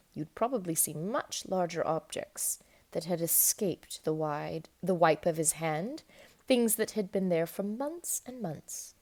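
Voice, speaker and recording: A woman reading a children's book aloud in an expressive, emphatic storytelling manner. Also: medium pitch. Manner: animated, emphatic storytelling with dramatic stresses. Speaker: storybook reader.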